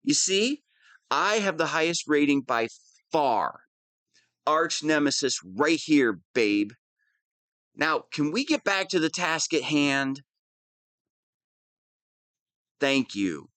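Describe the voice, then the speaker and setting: A man's voice: fairly high pitch, big pitch swings, slow pace, fairly bright tone, no room sound, exaggerated voice. Man playing a whiny, swooping wannabe supervillain. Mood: pompous, grandiose. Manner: whiny, pompous, petulant, easily flustered. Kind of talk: scripted comedic audio roleplay.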